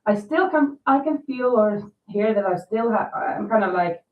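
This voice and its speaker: A woman in her fifties chats loosely and rambles, her voice a little nasal and raspy from a lingering cold. She sits some way from the mic. The sound is thin like a phone line and echoes in the room. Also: medium pitch, animated, normal speed, distant mic. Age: 50s. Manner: chatty, rambling, reads viewer comments aloud. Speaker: crochet livestream host. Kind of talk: casual livestream chat. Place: home.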